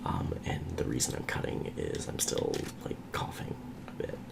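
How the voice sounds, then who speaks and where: A young man giving soft, rambling, half-whispered game commentary, nasal from a cold. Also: moderate pace. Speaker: let's play host. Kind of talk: whispered ASMR gameplay commentary. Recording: loud background hiss.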